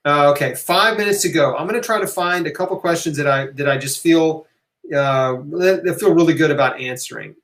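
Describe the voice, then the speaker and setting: Man, higher-pitched voice, roomy echo, live Q&A host, informal, encouraging, emphatic repetition. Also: fairly quick, a bit bright. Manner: emphatic, encouraging, repeats key phrases; informal, conversational, checks in with "right?" and "okay?". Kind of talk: casual live question-and-answer talk. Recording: roomy, echoing room sound.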